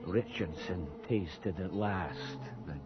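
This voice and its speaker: An actor playing a haunted young anatomist's assistant turned grave robber, with a grave, literary, dramatic delivery in a radio horror play. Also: male voice, low-pitched, slow. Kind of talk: gothic radio horror drama acting and narration. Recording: dull, noisy radio drama recording.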